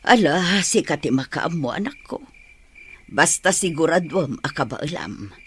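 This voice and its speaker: Man voicing a fussing, worried elderly mother, lively swoops. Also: fairly high pitch, unhurried, husky, pitched above the performer's own voice, exaggerated voice. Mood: tender worry. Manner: fussing, tender. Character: caring, worried elderly mother of a grown son. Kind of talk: Ilocano audio drama acting. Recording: noisy track with insect sounds.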